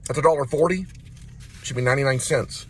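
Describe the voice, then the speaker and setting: A man's voice: mid-range voice, fast, a little hiss or hum. A man from Texas chatting casually as a vlogger, musing in a dry, wandering way. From Texas, United States. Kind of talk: casual rambling vlog chat.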